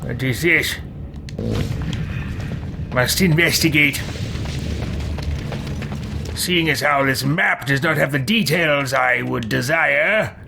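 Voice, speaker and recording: A man's voice: a little high, slow pace, creaky, pauses often, background noise. A man voicing a pompous, haughty evil wizard in a theatrical, exclamatory way while roleplaying a video game. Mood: pompous musing. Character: imperious evil wizard, haughty and easily outraged.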